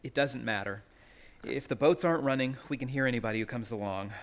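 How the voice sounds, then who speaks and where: Man playing a tense, mid-pitched hunted hero. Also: fast talker, close to an everyday voice. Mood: wary, subdued. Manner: earnest, dramatic. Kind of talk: radio play suspense acting.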